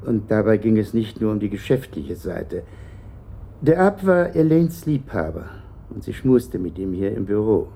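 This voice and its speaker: An actor playing a bitter, uneasy middle-aged company director answering questions haltingly in a German radio play. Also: male voice, fairly low pitch, big pitch swings, gravelly, dark tone, slightly rough, heavily acted. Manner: hesitant, evasive, halting.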